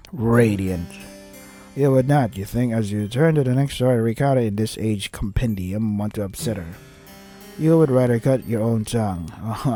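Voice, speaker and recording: A man's voice: on the low side, mellow. A man doing gaming commentary as he reads a visual novel aloud, breaking in with sarcastic, foul-mouthed asides. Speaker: let's play host reading a visual novel aloud.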